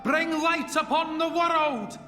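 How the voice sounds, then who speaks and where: A heroic legend on a game's theatre stage. The voice is very high for a man and declaims slowly in grand archaic style. It rings through a big hall over music. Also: fairly even intonation. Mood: fervent pleading. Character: noble heroic male legend, pleading grandly, in a stage play.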